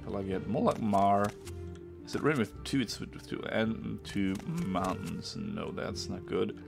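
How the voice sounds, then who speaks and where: Man, German accent, non-native English, low voice, gravelly, casual game commentary. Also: over music. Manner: chatty, pauses to search for words. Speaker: gameplay streamer. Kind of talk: casual let's-play commentary.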